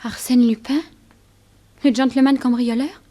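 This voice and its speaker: A woman's voice: a little high, fairly even intonation, a little slow, dark tone. A woman playing a genteel, trusting young lady in a 1960s French radio drama, refined and emotional. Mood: indignant, disbelieving.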